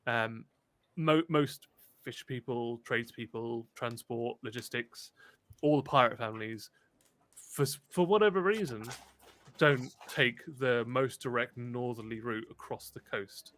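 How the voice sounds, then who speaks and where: A man acting as game master, narrating a tabletop game in a halting, conversational way. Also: mid-range voice, fairly bright tone.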